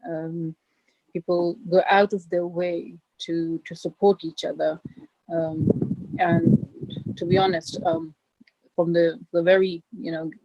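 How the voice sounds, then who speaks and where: A woman's voice: lowish voice, lively intonation, slow, mellow. A woman from northern Pakistan, a guest lecturer answering questions modestly with many fillers. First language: Burushaski. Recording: dry, lo-fi call audio.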